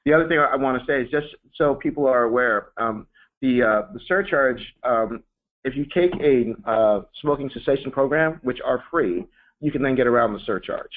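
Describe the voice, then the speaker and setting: A man's voice: mid-range voice, moderate pace. A man making informal remarks as a manager on a company staff call. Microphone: conference call phone line. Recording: narrow phone conference line.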